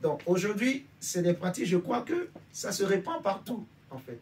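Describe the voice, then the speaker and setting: A man's voice: sits high, sing-song, moderate pace, darkish tone. A man from Benin preaching informally to a congregation, talking conversationally and often checking that his listeners follow him. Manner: conversational, asks listeners for agreement. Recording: distant mic with faint background noise.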